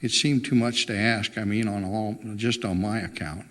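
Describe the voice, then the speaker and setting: A man's voice: lowish voice, steady tone, normal speed, a bit bright, natural voice. Guy reading a wife from a novel, earnest and insistent, pleading her case, big hall reverb. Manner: impassioned, insistent.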